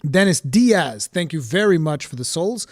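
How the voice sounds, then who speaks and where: A young man from Portugal hosting a game livestream, talking casually and excitedly and thanking viewers as he goes. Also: fairly high pitch, moderate pace, no echo, clean studio sound. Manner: excited, chatty, thanks viewers with a set phrase. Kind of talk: casual live commentary.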